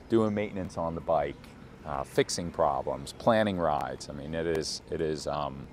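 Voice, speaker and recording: A man hosting a live bike race broadcast outdoors, chatting in a relaxed, halting way with many fillers. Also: rumbling low, slight background noise. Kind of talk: live trackside chat.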